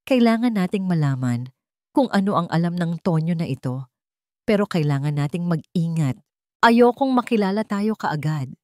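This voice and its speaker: A woman's voice: fairly low pitch, expressive, moderate pace, mellow, no echo, clean studio sound, lightly acted. Woman voicing a firm, serious male doctor. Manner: firm, direct. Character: serious, commanding male doctor, guarded and direct.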